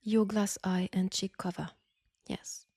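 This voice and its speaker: A woman with a French accent playing a caring but firm wartime army nurse in an intimate roleplay, speaking softly and breathily in a coaxing way. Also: medium pitch, steady tone, slow, no echo, exaggerated voice. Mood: tender. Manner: soft, breathy, gently coaxing. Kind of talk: intimate roleplay audio acting.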